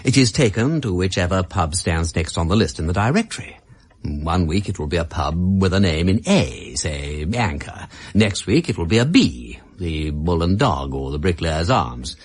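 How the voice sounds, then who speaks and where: An aristocratic English amateur detective in a radio drama. His delivery is theatrical and eager, swinging widely in pitch as he reasons things out. The voice has a slightly rough edge and an upper-class polish. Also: heavily acted. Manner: theatrical, emphatic, explanatory. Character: brilliant, excitable aristocratic amateur detective, eager and quick-minded.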